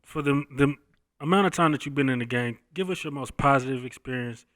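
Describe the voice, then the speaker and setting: A man's voice: medium pitch, a little fast, fairly bright tone, no room sound, studio quality. An American man interviewing a guest in an informal, slangy way. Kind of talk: casual interview hosting.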